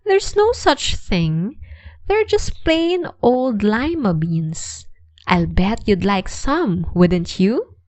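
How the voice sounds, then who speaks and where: A woman voicing a kind, sweet old woman in a children's storybook, with a bright, gentle manner. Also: mid-range voice, sing-song, very slow, no echo. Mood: kindly, coaxing.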